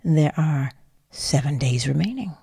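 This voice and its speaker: A woman leading a guided sleep meditation in a soothing, hushed and breathy voice, leaving long pauses. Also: low-pitched, lively intonation, no room sound, clean studio sound. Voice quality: breathy, husky.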